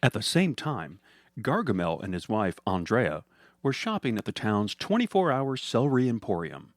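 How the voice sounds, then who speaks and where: A man's voice: fairly low pitch, sing-song, normal speed, dry recording, clean studio sound. A man narrating a scripted comedic story as a storyteller.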